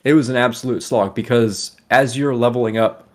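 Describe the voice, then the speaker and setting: A man's voice: medium pitch, fairly even intonation, moderate pace. A man co-hosting a casual gaming podcast, explaining things in a conversational way with some casual swearing. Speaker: podcast co-host.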